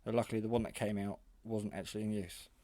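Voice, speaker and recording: A man's voice: lowish voice, flat delivery, quick pace, mellow, no echo. A man giving a casual, hands-on product demonstration in a hesitant, rambling, matter-of-fact way. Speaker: hobbyist radio reviewer. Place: garage.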